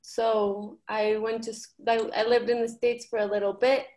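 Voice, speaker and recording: A woman's voice: on the high side, little pitch movement, moderate pace, darkish tone. Earnest young woman from Ecuador. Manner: earnest, uses many fillers like "like" and "you know". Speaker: program coordinator. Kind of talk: casual conversational reflection.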